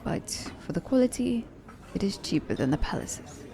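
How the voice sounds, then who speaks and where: A woman playing a confident, selfish young assassin in an ASMR romance roleplay, speaking in a teasing, halting manner. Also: medium pitch, sing-song, crowd noise. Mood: teasing, relaxed.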